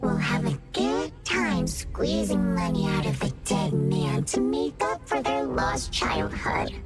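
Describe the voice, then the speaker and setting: Slow, wildly swinging, eerie malicious voice. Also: female voice, low voice, wildly swooping pitch, gravelly, loud music behind the voice, exaggerated voice. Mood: cold, mocking. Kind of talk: video game voice acting.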